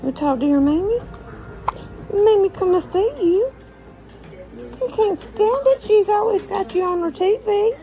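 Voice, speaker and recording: A woman's voice: very high-pitched, lively intonation, a little slow, long pauses, dark tone, dull audio, soft background music. A woman cooing to a baby in doting, repetitive, sing-song soothing baby talk.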